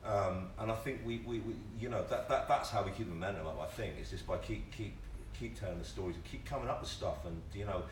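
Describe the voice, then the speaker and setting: A man's voice: lowish voice, quick pace, hardly any pauses. A British man speaking off the cuff on a panel, emphatic and colloquial, often stammering over repeated words as he makes his case. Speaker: panellist, theatre writer and director. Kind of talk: impassioned unscripted panel talk. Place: indoor panel event at a theatre. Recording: roomy, distant mic, background noise.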